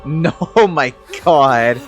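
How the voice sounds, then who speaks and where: A man streams a game with casual, blunt reactions in a mid-pitched voice. He speaks in short, unhurried bursts full of swearing and exclamations, with quiet music underneath. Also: expressive. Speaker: gaming streamer reacting to gameplay. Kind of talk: casual, profane gameplay reaction.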